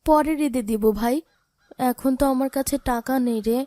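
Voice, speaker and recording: A woman's voice: high voice, moderate pace, dark tone, dry recording, natural voice. A woman playing a poor, caring orphaned older sister in a cartoon, plaintive and tender. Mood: sad, worried. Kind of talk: cartoon drama voice acting.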